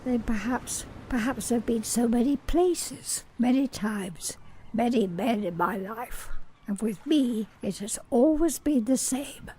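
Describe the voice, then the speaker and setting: An actress playing a slow-spoken, seductive woman in an amateur radio drama. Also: a little high, warm tone, a little hiss or hum, close to an everyday voice. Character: seductive woman, alluring and soft.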